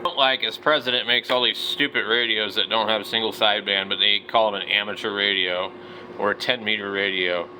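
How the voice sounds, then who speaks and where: A man's voice: lowish voice, steady tone, a little fast, bright, forceful tone, a little hiss or hum, poor audio. A middle-aged man giving casual, unscripted commentary as a hobby vlogger, blunt and opinionated, with some slangy wording.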